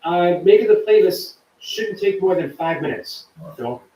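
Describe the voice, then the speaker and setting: A man's voice: high voice, lively intonation, a little slow, reverberant, distant mic, rough recording. A man hosting a live stream, chatting casually and reading viewer comments aloud. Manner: chatty, warm to viewers, reads chat aloud. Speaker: livestream host.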